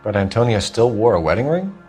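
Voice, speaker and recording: An actor playing a stern, principled 1940s American police detective in a video game, with a clipped, insistent, businesslike manner. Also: male voice, fairly low pitch, sing-song, a little fast, a little mellow, soft background music. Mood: probing, formal. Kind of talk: scripted dramatic game acting, police questioning.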